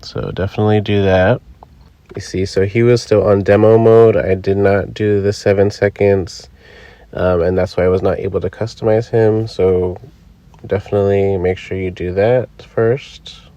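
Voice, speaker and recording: Man, lowish voice, casual review host, step-by-step, relaxed, dry close recording. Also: normal speed, no room sound, lo-fi sound. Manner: relaxed, explains step by step with fillers. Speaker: Halloween channel host. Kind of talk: casual product review and demo. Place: indoors, voice-over recorded apart from the footage.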